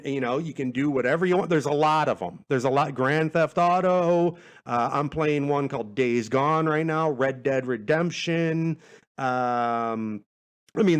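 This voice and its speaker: A man, a podcast host, chatting in a casual, self-deprecating way as he rambles through answers to questions. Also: fairly high pitch. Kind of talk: casual rambling Q&A monologue, reading out listener questions.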